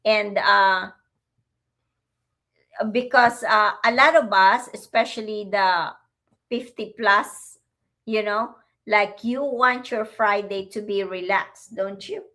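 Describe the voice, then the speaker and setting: Filipino lady in her 50s cohosting a livestream, slow easy pace, bright clear voice, chatty and friendly. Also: mid-range voice. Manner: friendly, speaks straight to viewers, laughs easily. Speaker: livestream co-host. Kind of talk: casual livestream chat.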